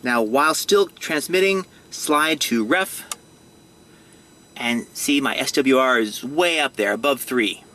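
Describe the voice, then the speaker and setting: A man giving a casual, step-by-step hands-on demonstration, speaking hesitantly and haltingly. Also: medium pitch, sharp tone. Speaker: hobbyist showing a radio install. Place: in or at a vehicle.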